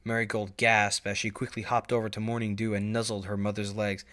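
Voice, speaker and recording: A man's voice: fairly low pitch, level tone, fairly quick, a bit bright. A man reading scripted story narration as the narrator.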